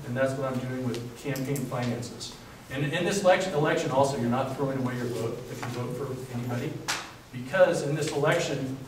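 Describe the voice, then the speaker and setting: A man speaking earnestly as a candidate in a debate, halting and repeating words. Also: mid-range voice, noisy background. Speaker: political candidate. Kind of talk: debate statement. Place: public event hall. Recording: echoey hall from far off.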